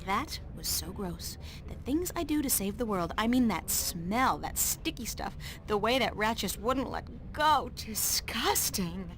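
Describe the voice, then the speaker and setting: An actress playing a young woman adventurer in a video game, wry and expressive. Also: mid-range voice, unhurried, hardly any pauses, background noise, lightly acted. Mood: disgusted complaining. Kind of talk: video game character acting.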